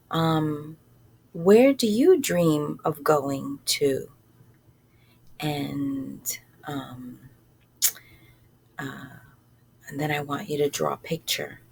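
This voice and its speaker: A woman reads a story aloud for children at a slow pace. She is informal and halting, speaking in short phrases with pauses and addressing the listeners directly. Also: low voice, husky.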